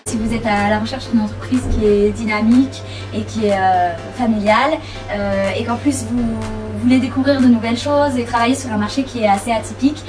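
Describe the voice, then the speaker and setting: A woman in her twenties giving a casual workplace testimony, pausing often. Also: on the high side, fairly even intonation. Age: 20s. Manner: hesitant, filler pauses. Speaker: company employee giving testimony. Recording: distant mic, background music.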